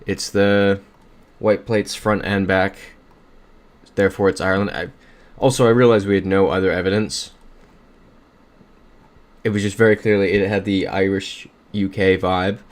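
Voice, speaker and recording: Upbeat man streaming, low voice. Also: little pitch movement, normal speed. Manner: upbeat, thinks aloud, reads chat messages. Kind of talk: casual livestream commentary.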